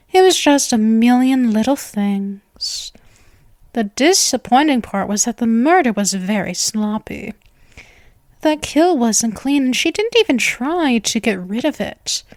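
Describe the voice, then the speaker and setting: A woman's voice: on the high side, no room sound, studio quality. A woman voicing a possessive, centuries-old vampire girl in an intimate ASMR roleplay, coy and cooing with a threatening edge.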